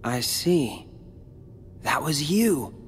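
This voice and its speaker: An actor playing a guarded, weary teenage boy hero in a video game cutscene, speaking tersely and haltingly. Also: on the high side, big pitch swings, big-room sound, a little hiss or hum, exaggerated voice. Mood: subdued. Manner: slow, terse, halting delivery.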